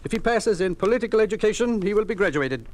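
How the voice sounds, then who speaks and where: An American actor playing a cold, officious regime official in a 1950s radio drama, formal and dismissive. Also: male voice, high voice, animated, fast talker, darkish tone, faint music behind. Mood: matter-of-fact. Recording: old 1950s radio recording.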